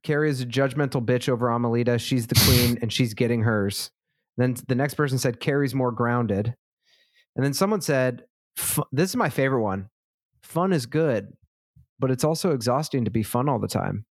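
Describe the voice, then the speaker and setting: A man co-hosting a podcast in casual chat, relaxed and swearing freely as he reads out listener responses. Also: mid-range voice, moderate pace, no room sound, clean studio sound. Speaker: podcast co-host. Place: lives in a big city.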